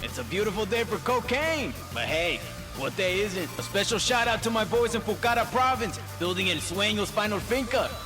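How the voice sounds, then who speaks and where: A man's voice: sits high, animated, normal speed, music in the background. A man playing a cocky, clownish cartel pirate radio DJ with a showy announcer delivery in a game. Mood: gleeful.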